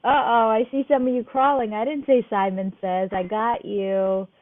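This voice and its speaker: High-voiced woman on thin, phone-like audio. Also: steady tone, moderate pace. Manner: playful, addressing children directly. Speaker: children's game leader. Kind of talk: leading a Simon says game for small children.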